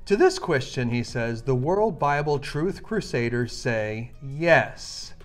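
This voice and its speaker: A man preaching with enthusiasm, reading a Bible passage slowly and emphatically. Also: medium pitch, lively intonation, faint music behind. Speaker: minister.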